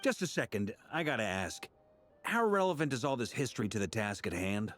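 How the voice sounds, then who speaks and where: A man's voice: fairly low pitch, sing-song, brisk, fairly bright tone, faint music behind. An actor playing a blunt, impatient young man in an anime game, with a questioning manner. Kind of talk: anime game character acting.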